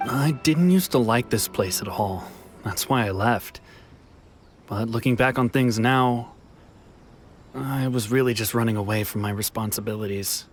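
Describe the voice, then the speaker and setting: A downcast male fugitive from an anime game, played by an actor. His mid-pitched voice is earnest, hesitant and resigned, with faint music behind it. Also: a little slow, lightly acted. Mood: regretful. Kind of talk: anime video game voice acting.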